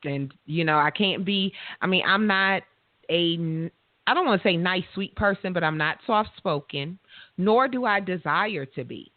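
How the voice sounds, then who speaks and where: A woman with a loud voice, hosting a radio talk show in a frank, self-assured way. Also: lowish voice, expressive, a little slow, no room sound. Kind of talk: casual interview chat. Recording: dry, tinny phone-line sound.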